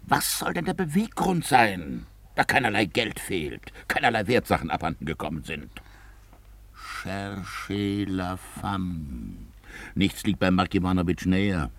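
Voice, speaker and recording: A sceptical gentleman official in 1950s radio drama. His very low, husky voice rumbles and swings through ponderous exclamations. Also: big pitch swings, unhurried, exaggerated voice.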